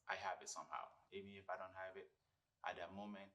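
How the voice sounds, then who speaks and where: A young man with a Liberian accent, a guest musician chatting casually in an interview, relaxed and quick to laugh. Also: sits low. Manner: relaxed, laughs often, restarts words.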